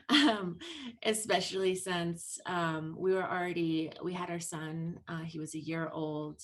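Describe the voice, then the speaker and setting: A woman in her thirties speaks earnestly through a laptop mic on a video call. Her voice sits a little low, and she talks loosely, with plenty of ums and restarts. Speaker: presenter. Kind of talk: online presentation talk.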